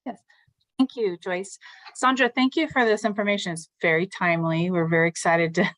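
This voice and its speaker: A woman's voice: on the low side, fairly even intonation, brisk, a bit bright, no room sound. A woman taking part in a conference call, asking a question in casual speech.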